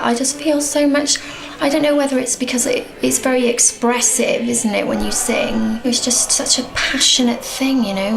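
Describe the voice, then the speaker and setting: Welsh woman, earnest, gravelly voice, fairly high. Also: low-quality recording. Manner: earnest, searching for words. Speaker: choir member. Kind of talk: casual interview.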